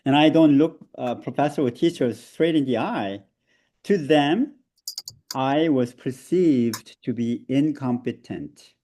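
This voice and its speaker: A man from South Korea with a Korean accent, presenting an online seminar over a video call, laughing often and pausing on fillers. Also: medium pitch, warm tone.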